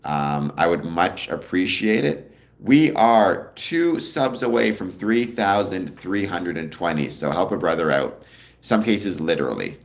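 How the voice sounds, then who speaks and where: A young man hosting a casual livestream, answering viewer comments in a relaxed, rambling way with a deep voice. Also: unhurried, vocal fry. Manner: relaxed, rambling, many ums, answers chat questions. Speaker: livestream host.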